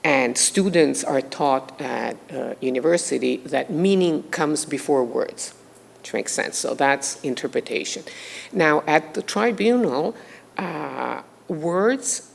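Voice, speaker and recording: A woman giving a formal lecture, measured and hesitant, with frequent pauses and restarts. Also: sits low, creaky. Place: lecture hall. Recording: long hall reverb.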